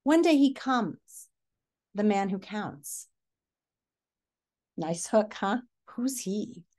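A middle-aged woman with a medium-pitched voice reads to pupils as their teacher. Her delivery is deliberate, like classroom storytelling, with pauses to put questions to the listener. She is recorded indoors at about arm's length from the device. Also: animated, darkish tone. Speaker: teacher reading a picture book aloud. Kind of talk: read-aloud lesson with questions to pupils. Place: small furnished living room or hotel room, indoors.

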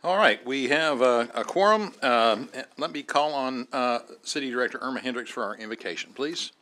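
Middle-aged man, mayor presiding over a council session; halting, filler-heavy, formal delivery on a desk mic. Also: medium pitch, animated, bright, forceful tone, slight background noise. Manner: halting, many "uh" fillers, formal procedural phrasing. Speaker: mayor chairing a city board meeting. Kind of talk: chairing a public meeting. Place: large council chamber, seated at dais. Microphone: desk microphone.

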